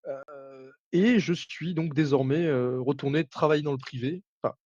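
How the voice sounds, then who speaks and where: A man playing a retired navy commander turned politician, answering interview questions in a formal, halting way full of filler sounds. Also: medium pitch, a little mellow, no room sound, close to an everyday voice. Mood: measured. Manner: formal, hesitant, frequent filler sounds and restarts. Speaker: interview guest. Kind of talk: roleplay interview. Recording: dull dry sound.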